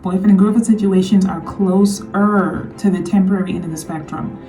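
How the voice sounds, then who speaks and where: A woman giving an earnest commentary monologue on her channel, slightly hoarse, often repeating her points to drive them home. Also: medium pitch, steady tone, moderate pace, warm tone, far from the mic, poor audio. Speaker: vlogger.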